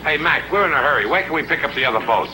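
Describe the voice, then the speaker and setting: An actor playing a hurried, brusque delivery man in a 1950s TV sitcom. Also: a little high, expressive, lightly acted. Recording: 1950s TV soundtrack with hiss.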